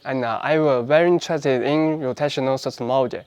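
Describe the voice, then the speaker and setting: Man, twenties, clear resonant voice, dry sound, careful speech. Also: mid-range voice, fairly quick, a little mellow, dry recording, highs cut off. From China. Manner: careful, frequent "uh" fillers. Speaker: PhD student. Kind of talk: short self-introduction to camera.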